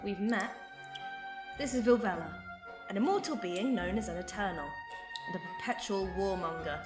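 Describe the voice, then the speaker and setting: A woman playing a quick-witted, bold alien time traveller in an audio drama, wry, brisk and flippant. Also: medium pitch, lively intonation, close to an everyday voice. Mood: guarded. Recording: roomy echo, loud music behind.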